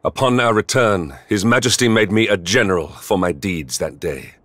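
An actor playing a stern, remorseful knight general in a video game, speaking formally and gravely. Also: male voice, lowish voice, normal speed, a bit bright, no room sound, natural voice. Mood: solemn regret. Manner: formal, solemn, grave delivery. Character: stern, remorseful male knight general, mature, noble and brooding. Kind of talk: video game cutscene acting.

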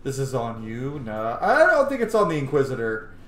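A man's voice: medium pitch, big pitch swings, normal speed, fairly bright tone. A man in his thirties hosting a casual, sweary let's play from a room at home. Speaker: gaming channel host. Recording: echoey room, game music behind. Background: game music.

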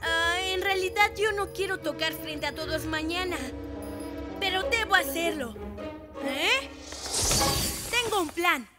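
An actor voicing a young boy cat superhero in a children's cartoon, eager, earnest and expressive. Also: very high voice, measured pace, long pauses, over loud music. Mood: earnest, determined. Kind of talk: animated children's cartoon dub.

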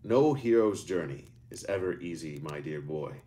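A man voicing an ancient wizard who speaks in a measured, fatherly way. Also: deep voice, animated, dark tone. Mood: wise. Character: ancient male wizard, calm and wise.

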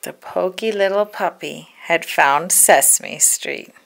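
A woman narrating a children's storybook in an expressive, deliberate storytelling manner. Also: on the low side, creaky. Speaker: storybook read-aloud narrator.

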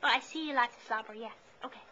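A woman's voice: fairly high pitch, fast talker, pushed and bright. A woman casually emceeing a puppet show and chatting with the puppets. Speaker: puppet show host at a library. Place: indoor library room. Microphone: handheld pocket camcorder. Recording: muffled camcorder with faint room noise.

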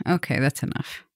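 A woman playing a flirtatious, softly dominant vampire professor in an ASMR roleplay, intimate and teasing, sometimes dropping to a whisper. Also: sits low, slightly rough, no echo, clean studio sound, exaggerated voice. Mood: hungry, intimate. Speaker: roleplay audio performer.

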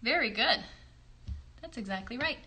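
A woman's voice: on the high side, expressive, moderate pace, pushed and bright. A woman in her thirties chatting encouragingly with children as a puppet show host, with simple questions and praise. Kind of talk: casual, encouraging chat with children. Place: bedroom at home. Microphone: phone a short distance away.

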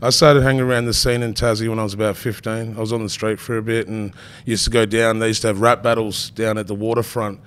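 A man in his thirties with an Australian accent, a rapper guest telling stories in relaxed, casual podcast talk. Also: fairly low pitch, fast, clean tone. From Tasmania. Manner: laid-back storytelling, peppered with "bro".

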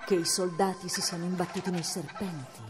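An actress voicing a wounded, defiant medieval noblewoman in a radio drama, sharp-tongued and worn from her injuries. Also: on the low side, steady tone, fairly quick, music in the background, natural voice. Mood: urgent. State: feverish, aching from wounds.

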